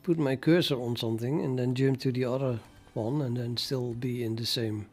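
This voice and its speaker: An older Dutch-accented man chats with his viewers while coding, in a gravelly, creaky voice with a mid pitch. He muses and stumbles over his words, filling gaps with uh and the odd quiet chuckle. Soft music plays faintly behind him. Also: fairly even intonation. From Netherlands. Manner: musing, hesitant, halting with many fillers, chuckles to himself. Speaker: programming livestreamer. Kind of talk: casual livestream chat while coding.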